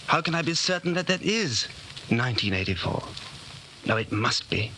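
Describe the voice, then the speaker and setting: A man's voice: mid-range voice, big pitch swings, normal speed, pushed and bright. An actor playing an anxious, secretly rebellious man of about forty in a 1940s radio drama, brooding and urgent. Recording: noisy 1940s radio broadcast recording.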